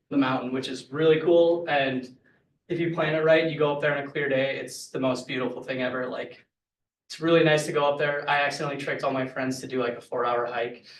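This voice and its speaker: A man's voice: fairly high pitch, fairly even intonation, a little fast, slight background noise. A young man, a college senior, casually and upbeatly describing his photos, with many fillers. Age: young adult. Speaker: student speaker. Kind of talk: casual talk about photos. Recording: echoey room, distant mic.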